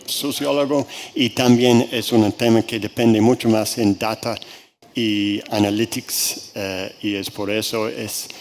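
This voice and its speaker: Slow-talking male conference speaker. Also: mid-range voice, a little mellow. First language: English. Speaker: guest speaker, agriculture researcher. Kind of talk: conference presentation.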